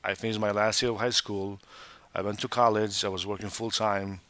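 A man from Montenegro with a low voice, talking casually about his restaurants. He speaks with plenty of fillers and restarts. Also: level tone, brisk, bright, forceful tone. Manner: talkative, many restarts and fillers. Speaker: restaurant owner. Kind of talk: casual interview talk.

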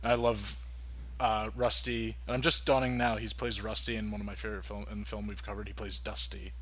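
A man co-hosting a casual film podcast, talking in a rambling way with many halting restarts. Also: lowish voice, little pitch movement, fairly bright tone. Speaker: podcast co-host.

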